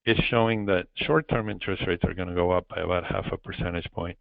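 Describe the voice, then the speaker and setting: A man giving an explanatory financial presentation as an investment chair, speaking haltingly and repeating phrases over a conference call phone line. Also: sits low, fairly even intonation, vocal fry, no echo. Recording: muffled narrowband phone line.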